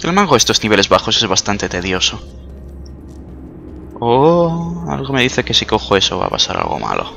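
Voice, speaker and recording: A young man giving casual, jokey gameplay commentary, excitable and quick to swear. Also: on the low side, background noise. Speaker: gamer. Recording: clear game music behind.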